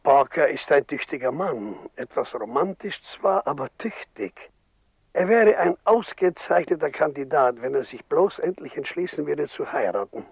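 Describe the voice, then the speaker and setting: An actor playing a genteel, earnest doctor in a radio play, polite and deliberating. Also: male voice, fairly high pitch, expressive, normal speed, vocal fry, breathy edge, no echo, exaggerated voice. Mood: polite, thoughtful. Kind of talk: German radio play acting.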